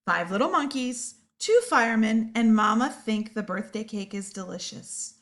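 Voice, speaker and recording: A woman storyteller reading a picture book aloud to young children, expressive and deliberate. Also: a little high.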